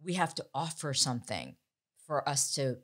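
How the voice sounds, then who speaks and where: A low-voiced American woman in her fifties hosts a panel discussion. She speaks candidly and with emphasis, in the conversational way of a journalist. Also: big pitch swings, normal speed, a bit bright. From Ohio, American Midwest. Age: 50s. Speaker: talk show host and journalist.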